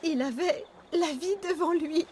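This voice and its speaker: A woman's voice: high voice, unhurried, a little mellow, a little hiss or hum. A woman playing a distraught mother pleading for her captured son in a video game. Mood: anguished, pleading.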